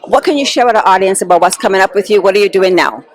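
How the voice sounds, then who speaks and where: Upbeat fast-talking woman interviewer. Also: medium pitch. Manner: upbeat, rapid-fire questions. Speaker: red carpet interviewer. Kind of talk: red carpet interview.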